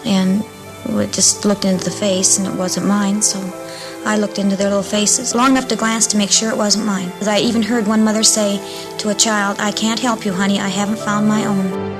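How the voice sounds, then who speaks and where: A woman with a medium-pitched voice recounts her memories in an unscripted interview. The recording is 1950s radio tape, and music plays clearly underneath her. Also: level tone, normal speed, poor audio. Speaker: interviewed mother.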